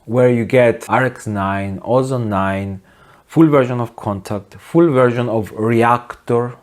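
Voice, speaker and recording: Wry, deadpan man talking to camera. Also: medium pitch, slow pace. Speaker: host of a music production video channel. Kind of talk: opinionated video essay to camera. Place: small home studio, indoors.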